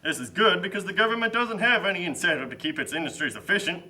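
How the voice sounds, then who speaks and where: A man's voice: sits high, expressive, fast, pushed and bright, full character voice. A man playing a smug, pushy, upbeat salesman type in a scripted puppet show.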